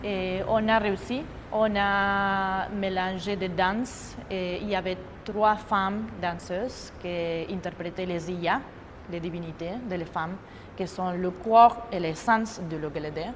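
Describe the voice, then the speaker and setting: A woman from Argentina speaking French as a second language, a choreographer talking about her project in a halting way, often pausing to search for words. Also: lowish voice, fairly even intonation, big-room sound, slight background noise. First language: Spanish. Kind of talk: interview testimony.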